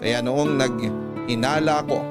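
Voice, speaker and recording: A man with a Filipino accent reading a letter as scripted dramatic narration, measured and earnest. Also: medium pitch, steady tone, loud music behind the voice. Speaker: radio story host reading a letter.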